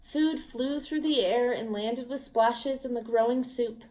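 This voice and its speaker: A woman reading a children's storybook aloud, expressive and unhurried, as if to young listeners. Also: on the high side. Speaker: storybook reader. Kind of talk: children's read-aloud narration.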